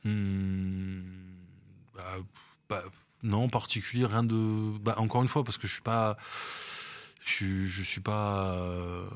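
A man's voice: lowish voice, fairly even intonation, slow pace, clear, resonant tone. A middle-aged man from France chatting in an interview, hesitant, with many fillers and restarts. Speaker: cinema manager, interview guest. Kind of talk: casual interview chat. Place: outdoors on a seafront promenade.

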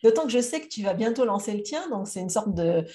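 A woman's voice: medium pitch, expressive, fast talker, dark tone. A woman hosting a podcast, chatting casually and asking questions with many fillers. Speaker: podcast host. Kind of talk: casual interview talk.

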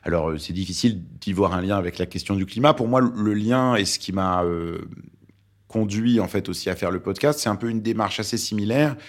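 A man's voice: on the low side, brisk. A man in his forties from Lebanon, a native Arabic speaker, talking thoughtfully as a journalist guest with many hesitations. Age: 40s. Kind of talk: interview conversation.

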